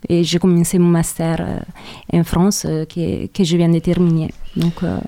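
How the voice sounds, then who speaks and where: A woman's voice: fairly low pitch, flat delivery, a little slow, creaky, dark tone, dry recording. A young woman from southern Italy, speaking French with an Italian accent, answers interview questions with frequent hesitations and repeated words. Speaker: guest. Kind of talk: radio interview.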